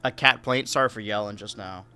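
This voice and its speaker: A man's voice: lowish voice, fairly quick, bright, forceful tone, clean tone. A man live-streaming from home with a stuffed-up nose, chatting and joking with his viewers and his cats. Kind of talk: casual live-stream chat.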